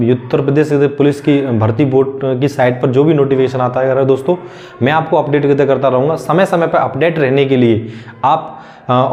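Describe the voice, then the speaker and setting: A man's voice: medium pitch, dark tone. A young man gives informal, unscripted advice to students, often repeating a filler phrase and restating his points. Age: young adult. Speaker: exam news YouTuber. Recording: dull lo-fi microphone sound.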